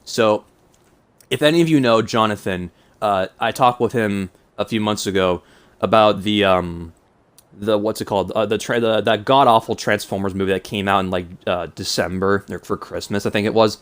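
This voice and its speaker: A man's voice: on the low side, normal speed, smooth. Rambling young man with a stuffy nose. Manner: hesitant, rambling, self-conscious. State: mild cold. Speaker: YouTuber. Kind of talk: casual rambling vlog monologue.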